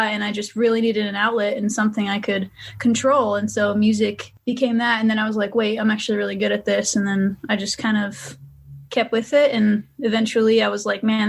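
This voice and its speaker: A woman's voice: fairly high pitch, vocal fry. Young woman guest, from western mass, easygoing and candid, lots of fillers. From Western Massachusetts. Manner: relaxed, chatty, filler-heavy, candid. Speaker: guest musician. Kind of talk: casual interview chat.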